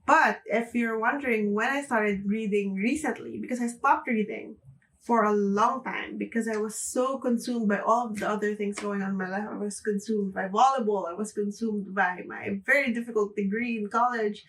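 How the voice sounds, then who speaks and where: A woman's voice: medium pitch, steady tone, moderate pace, a bit bright, far from the mic, poor audio. A young woman from the Philippines with a Filipino accent, chatting casually to camera and often saying "like". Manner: chatty, frequent "like" fillers, light laughs. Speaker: booktuber.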